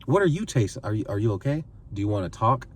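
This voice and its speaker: A man's voice: on the low side, big pitch swings, fast talker, husky, recorded from a distance. A man in his twenties giving earnest advice to camera, pleading and repeating phrases for emphasis. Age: 20s. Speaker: vlogger giving life advice.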